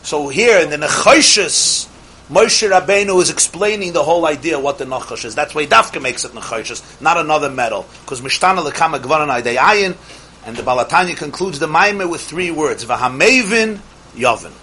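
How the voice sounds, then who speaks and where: Animated rabbi teaching a class. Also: male voice, fairly high pitch, normal speed, a little hiss or hum. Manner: emphatic, swings between loud exhortation and quiet asides. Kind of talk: animated Torah class with Hebrew terms.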